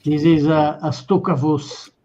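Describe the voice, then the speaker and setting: Slightly high male guest, halting explainer, muffled stream sound. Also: flat delivery, warm tone. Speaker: guest showing models. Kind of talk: casual show-and-tell. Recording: dull lo-fi livestream audio.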